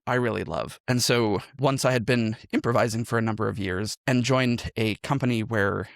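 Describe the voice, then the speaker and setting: A man's voice: medium pitch, steady tone, moderate pace, gravelly, dry recording, studio quality. A man telling his story as a podcast guest in a conversational, reflective way, often checking in with a "right?" as he explains. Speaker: podcast guest, improv teacher and game master.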